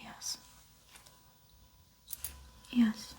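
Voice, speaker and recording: A woman playing a patient in an ASMR roleplay, giving brief, quiet, hushed answers. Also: drawn-out words. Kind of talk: soft-spoken ASMR roleplay replies.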